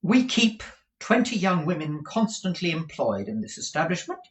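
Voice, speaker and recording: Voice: medium pitch, very animated, moderate pace, voice pushed up, lightly acted. A reader voicing an imperious, proper woman who runs a business, formal and emphatic in manner, in a storybook. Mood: businesslike. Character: imperious businesswoman, brisk, proper shop proprietress wife.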